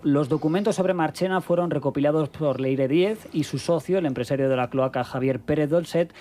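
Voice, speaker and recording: A man's voice: fairly high pitch, darkish tone. A man from Spain reading news items and introducing clips on a radio news show.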